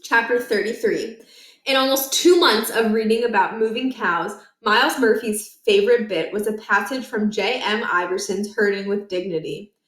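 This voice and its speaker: Woman storybook reader, mid-pitched, expressive children's narration, noticeably roomy sound. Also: normal speed. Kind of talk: children's read-aloud narration. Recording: roomy, echoing indoor recording.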